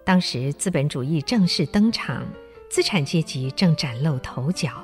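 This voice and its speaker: Woman narrating over soft music. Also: low voice, lively intonation, moderate pace. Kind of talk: scripted radio drama narration.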